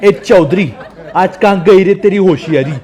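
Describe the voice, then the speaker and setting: A man's voice: high-pitched, normal speed, warm tone, some chatter behind, close to an everyday voice. A man voicing a mischievous, taunting gang ringleader with a brash, teasing manner.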